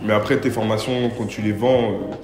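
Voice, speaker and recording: A man's voice: lowish voice, fairly even intonation, fairly quick. A man in his twenties from Paris, answering interview questions casually with slang and many hesitant fillers. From Paris, France. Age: 20s. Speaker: interviewee, video creator. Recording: echoey room with music behind.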